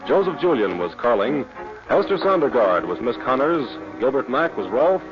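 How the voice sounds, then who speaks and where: A man's voice: mid-range voice, very animated, moderate pace, over music. A man announcing in scripted 1950s radio style, presenting a drama programme as its announcer. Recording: muffled 1950s radio recording.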